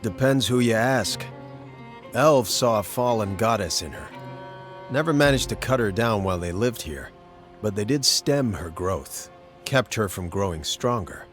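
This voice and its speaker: A weary mercenary monster hunter from a fantasy game. His voice sits fairly low, with blunt, colloquial, matter-of-fact phrasing. Music runs underneath. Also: animated, measured pace. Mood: grim storytelling. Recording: background music under the dialogue.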